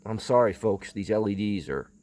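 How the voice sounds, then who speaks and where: A man's voice: on the low side, animated, normal speed, warm tone. A man giving unscripted hands-on commentary as he inspects something, rambling hesitantly and muttering to himself. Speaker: vintage audio enthusiast presenting a video.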